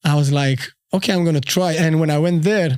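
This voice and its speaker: A man in his thirties from Albania with an Albanian accent, a podcast guest telling his story in a halting, easygoing way with many fillers. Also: fairly high pitch, a little mellow, clear, resonant tone, no room sound, clean studio sound. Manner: unhurried, halting, frequent fillers. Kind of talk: casual storytelling interview.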